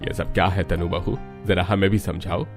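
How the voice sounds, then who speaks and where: आदमी का निभाया गहरी आवाज़ वाला स्नेही ससुर. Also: male voice, low voice, fairly even intonation, normal speed, faint music behind. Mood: puzzled. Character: proud, kindly older father-in-law, rich family patriarch.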